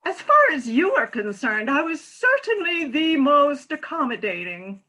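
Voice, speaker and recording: A woman performs a middle-aged former nurse in a comic play reading. Her voice sits high and bright. She speaks frankly, with wry, pointed teasing and an earnest streak. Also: big pitch swings, unhurried, heavily acted, rough recording.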